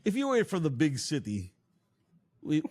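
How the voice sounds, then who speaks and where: Male podcast host, casual chat, joking and laughing, swooping lively intonation. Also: on the high side, normal speed. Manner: jokey and laughing, with lots of fillers and restarts.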